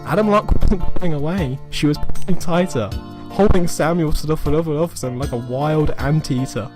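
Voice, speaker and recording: A man with a slightly high voice reads amateur fiction aloud over music. He delivers it as a mock-serious dramatic reading, stretching certain phrases for effect and tripping over others. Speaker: reader of amateur fan fiction. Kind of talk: comic dramatic reading of a written story.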